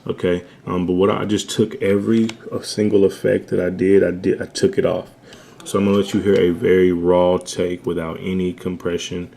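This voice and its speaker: Bassy, gravelly young man, flat and low. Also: moderate pace. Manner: laid-back slangy, says "man" often. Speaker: home-studio music tutorial host. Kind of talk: casual tutorial talk. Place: home studio.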